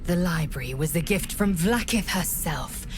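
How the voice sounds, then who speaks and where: A woman's voice: fairly low pitch, expressive, moderate pace, noisy background, natural voice. A woman playing a stern, proud alien warrior in a fantasy video game, formal, clipped and imperious in manner. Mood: proud, solemn. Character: stern, haughty female githyanki warrior, proud and intense. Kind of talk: video game character acting.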